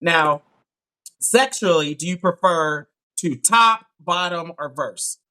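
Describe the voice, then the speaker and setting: Male dating show host, bright and edgy tone, teasing and jokey, video call. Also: a little high, slow, rough recording. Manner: playful, teasing, laughs often. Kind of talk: live online dating show hosting.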